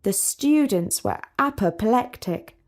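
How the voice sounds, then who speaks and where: A woman narrating a storybook for English learners, reading in a clear, measured way. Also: on the high side, lively intonation.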